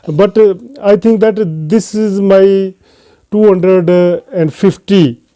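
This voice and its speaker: A man with a Pakistani accent hosting an English-learning channel, talking casually to camera and pausing often on "uh" fillers. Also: sits high, steady tone, warm tone. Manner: hesitant, frequent "uh" fillers between phrases. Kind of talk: casual unscripted talk to camera.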